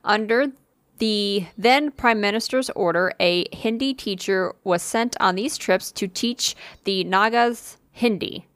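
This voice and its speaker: A woman's voice: medium pitch, slow pace, fairly bright tone, no room sound, studio quality. A woman casually reading a spooky listener story aloud on a podcast, with fillers and asides. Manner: reads with occasional fillers and asides.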